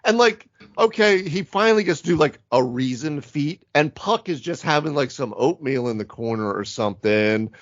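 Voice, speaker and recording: A man's voice: a little high, animated, normal speed, few pauses, lo-fi sound. A man chatting casually as a podcast co-host about tabletop games, informal and slangy, often saying dude and right.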